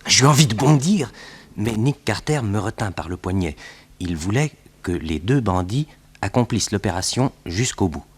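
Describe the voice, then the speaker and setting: An actor playing a detective's loyal assistant, narrating the case in the first person for a 1960s French radio drama in a husky voice. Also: male voice, lowish voice, animated, normal speed. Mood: dramatic, recounting.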